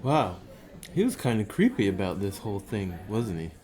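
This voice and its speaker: A woman's voice: extremely low pitch, big pitch swings, normal speed, very noisy. A woman playing a wary, sardonic companion with a knowing streak in an audio drama. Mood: skeptical.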